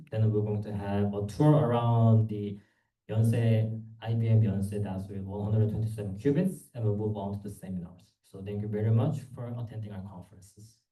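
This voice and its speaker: A young man giving an informal conference presentation as a student club executive, in a halting way with repeated words. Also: on the low side, mellow. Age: young adult. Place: indoor conference room. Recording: echoey room, distant microphone.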